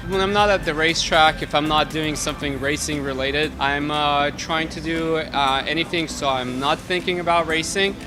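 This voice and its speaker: A man in his twenties with a Russian accent and Russian as his native language talks casually about himself as a racing driver. His voice runs a little high for a man. Music plays clearly behind him. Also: fairly even intonation, normal speed, hardly any pauses. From Moscow, Russia. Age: 20s. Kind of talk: casual self-introduction to camera.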